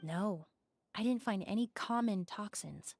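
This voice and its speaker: An actress playing a calm, analytical young forensic investigator in a video game, precise and matter-of-fact. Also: female voice, medium pitch, unhurried, vocal fry, soft background music. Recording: faintly noisy game livestream capture.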